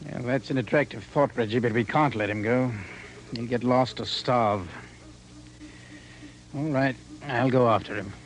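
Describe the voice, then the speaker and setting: A man's voice: mid-range voice, a little slow, pauses often, lightly acted, low-quality recording. Wry, sensible expedition partner played by a man. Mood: resigned. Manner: sarcastic but level-headed. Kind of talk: radio drama acting.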